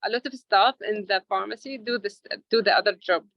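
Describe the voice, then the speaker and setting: A woman interview guest speaking English as a second language, answering informally and haltingly as she searches for words. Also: mid-range voice, creaky, no echo. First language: not English. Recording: dull lo-fi audio.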